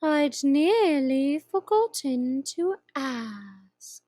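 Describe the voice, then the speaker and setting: A woman voicing a sly, teasing cat in a storybook reading, drawing out her words slowly. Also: sits high, very animated, dark tone, no room sound, studio quality, pitched above the performer's own voice, exaggerated voice. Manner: slow, drawn-out, teasing delivery.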